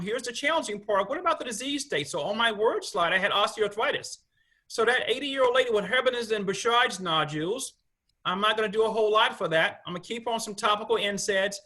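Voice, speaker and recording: A man, a rheumatologist, giving a conversational medical lecture over slides, often restarting phrases and acting out quick quoted asides. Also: sits high, bright, forceful tone.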